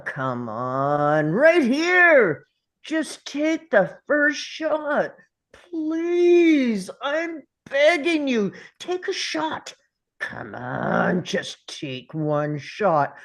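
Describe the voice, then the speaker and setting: A woman playing a bullying, sarcastic male school principal in a script read, taunting with drawn-out contempt. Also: high-pitched, big pitch swings, exaggerated voice. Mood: goading, contemptuous. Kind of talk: table read of a film script.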